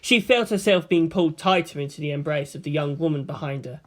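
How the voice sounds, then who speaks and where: A woman reading a story aloud as narrator, in an expressive, dramatic style. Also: low voice, a little fast.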